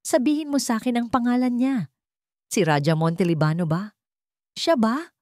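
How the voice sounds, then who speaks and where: A woman acting a betrayed, bitter husband confronting his wife in an accusing, emphatic, halting way in a narrated drama. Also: fairly high pitch, lively intonation, darkish tone, no room sound, clean studio sound, pitched above the performer's own voice, natural voice. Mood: angry, accusing.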